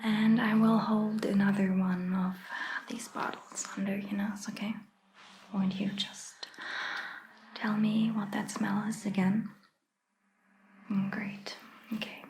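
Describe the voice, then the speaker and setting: A woman playing a gentle, attentive vampire doctor in a soft ASMR roleplay, whispering breathily and giving soothing, reassuring instructions. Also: medium pitch, level tone, slow, pauses often, roomy sound, a little hiss or hum, rough recording. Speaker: ASMR performer.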